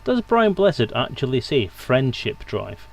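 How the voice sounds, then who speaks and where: A man's voice: medium pitch, sing-song, a little slow, creaky. Dry, understated man hosting a stream. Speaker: stream host. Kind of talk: casual livestream hosting.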